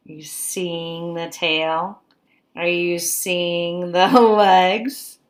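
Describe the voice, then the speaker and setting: A woman teacher reading a picture book aloud to children, expressive and coaxing, pausing to put questions to her young listeners. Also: fairly low pitch.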